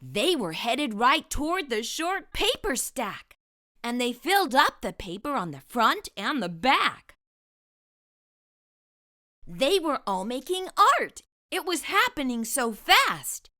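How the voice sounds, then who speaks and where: A woman's voice: sits high, very animated, slow, sharp tone. A woman narrating a children's storybook expressively, enunciating for young listeners as a storytime host.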